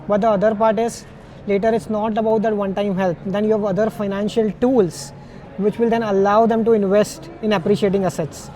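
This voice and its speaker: A man's voice: sits high, level tone, a little fast, mellow. A man interviewing a guest conversationally, affirming and recapping points.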